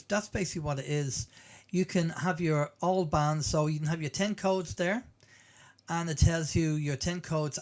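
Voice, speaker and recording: A man giving an informal, plain-spoken walkthrough as a presenter, explaining step by step and often repeating himself. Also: on the high side. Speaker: presenter demonstrating web apps.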